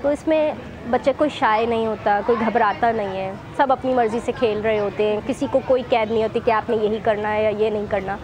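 A woman's voice: on the high side, hardly any pauses. A young woman volunteer talking conversationally in an outdoor interview among schoolchildren. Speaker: volunteer with children. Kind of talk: interview explanation. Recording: noisy outdoor crowd of children. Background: children's chatter.

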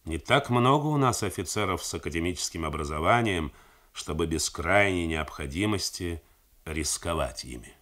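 Grey-haired general, slow and measured, low voice, male reader doing him. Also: lively intonation, a bit bright. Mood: cautious. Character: older male army commander, grey-haired, calm, fatherly.

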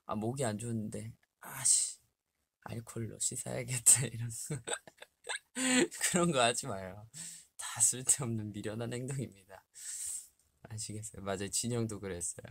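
A man's voice: mid-range voice, moderate pace, vocal fry, fairly bright tone, breathy edge. A young man in his twenties chatting casually on a livestream, reading health tips aloud and laughing often, in a small bare room. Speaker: livestream host. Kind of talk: casual live chat with fans. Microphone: webcam at desk distance.

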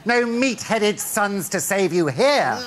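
An actor playing an evil, gloating pantomime ringmaster, taunting in a theatrical, drawn-out way. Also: male voice, high-pitched, expressive, full character voice. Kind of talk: broad pantomime acting. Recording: noisy theatre-like studio with loud crowd.

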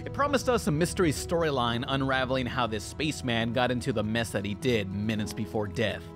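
Lively man reviewing cartoons. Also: medium pitch, a little fast, music in the background. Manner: sarcastic, profane joking. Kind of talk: scripted review narration.

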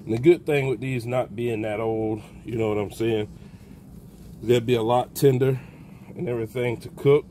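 An older man giving a relaxed, folksy how-to narration outdoors in a backyard while he works with his hands. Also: mid-range voice, normal speed, a little hiss or hum. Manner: folksy, relaxed, talks while plucking, calls out "baby". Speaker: backyard homesteader. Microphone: phone camera held close, hands-on filming.

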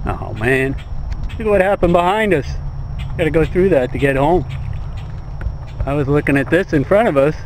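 A man in his forties talks excitedly into a close action camera while out on a small boat, cheering his dog and showing off fish. His voice sits a bit high for a man. Clear wind and water noise run behind him. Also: low-quality recording. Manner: excited, chatty, praising the dog with laughs. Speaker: fishing vlogger. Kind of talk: casual outdoor commentary to camera and dog. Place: small fishing boat on open water outdoors. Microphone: action camera at close range.

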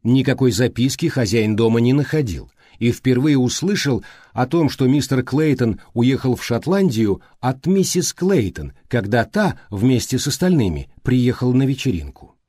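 A man reading a mystery audiobook as scripted narration, with measured, clearly phrased delivery. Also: mid-range voice, big pitch swings, warm tone, no room sound.

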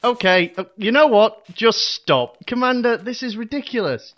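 A man's voice: very high-pitched, animated, moderate pace, a bit bright, dull audio. A man playing an unimpressed young superhero sidekick in a comedy audio drama, blunt and matter-of-fact. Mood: sceptical.

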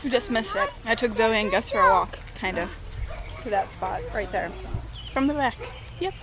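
A young woman vlogging casually at home in a playful, excitable way, speaking into a handheld camera. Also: fairly high pitch, moderate pace, a crowd in the background. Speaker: vlogger filming. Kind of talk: casual home vlog chat. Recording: noisy handheld camera audio.

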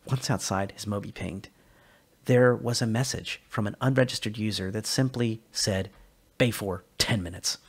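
A man reading a sci-fi short story aloud as narrator, expressive with small slips. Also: on the low side, normal speed, breathy edge. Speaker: reader.

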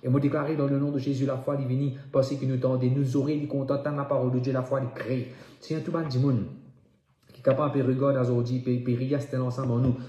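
Male prayer leader, soft-edged mellow tone, repetitive incantatory delivery, roomy reverberant sound. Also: mid-range voice, flat delivery, quick pace, muffled recording. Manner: incantatory, repeating phrases with emphasis. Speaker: preacher leading prayer. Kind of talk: fervent prayer and declaration, repetitive. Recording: echoey room sound.

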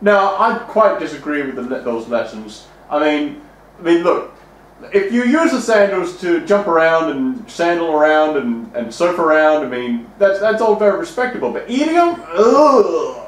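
A man voicing a silly high-voiced guest who rambles absurd nonsense and swears. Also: very animated, normal speed. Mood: mock-serious. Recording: echoey room, distant mic.

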